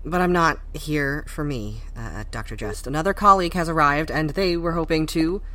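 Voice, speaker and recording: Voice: medium pitch, moderate pace, bright, forceful tone, natural voice. An actor playing a polite, self-conscious scientist colleague in an audio drama, prone to stammering. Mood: polite, wry.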